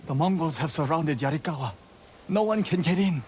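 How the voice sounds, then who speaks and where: Man as an anxious, high-pitched young blacksmith. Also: animated, a little fast, a little mellow, slight background noise, close to an everyday voice. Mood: anxious, doubtful. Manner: worried, protesting.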